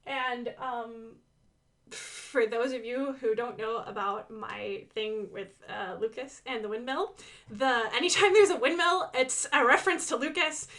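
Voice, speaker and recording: A woman's voice: on the high side, measured pace, fairly bright tone. A woman giving casual, enthusiastic commentary on her own animation, playful, with sing-song asides and dramatic emphasis.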